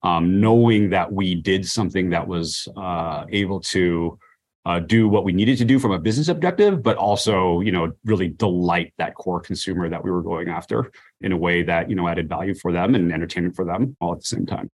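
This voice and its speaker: A man's voice: deep voice, brisk, a little mellow. A man giving casual, conversational interview answers about his work, often saying "you know" and "like". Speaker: brand marketer, interview guest.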